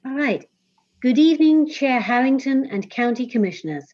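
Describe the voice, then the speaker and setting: A woman's voice: high-pitched, expressive. English-accented woman on a muffled call. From Scotland, raised in England. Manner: measured, formal and precise. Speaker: senior management auditor. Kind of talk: formal self-introduction at a public meeting. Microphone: remote call audio. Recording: dull lo-fi video call audio.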